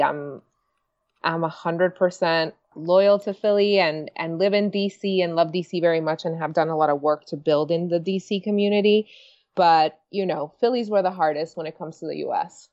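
A woman from Venezuela, a guest on a podcast, talking about her life in an enthusiastic, warm way and laughing often. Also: lowish voice, lo-fi sound. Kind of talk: casual interview.

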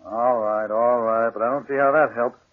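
An actor playing a gruff, skeptical police inspector with a blunt, sardonic, slangy delivery in a 1940s radio drama. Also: male voice, medium pitch, fairly even intonation, moderate pace, close to an everyday voice. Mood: grumbling. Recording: muffled, scratchy 1940s radio recording.

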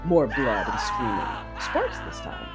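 A woman playing a cold, commanding Sith mother, narrating an audio drama in a menacing, controlled, deliberate way. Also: extremely low pitch, wildly swooping pitch, loud music behind the voice, heavily acted.

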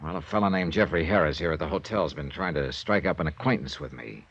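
A man's voice: extremely low pitch, fast talker, fairly bright tone, husky, exaggerated voice. A man acting in a 1950s American radio drama, playing a suspicious, hard-boiled insurance investigator with a clipped, sardonic delivery and an American accent. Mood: probing, calmer. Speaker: lead actor of a detective radio serial. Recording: dull 1950s radio recording.

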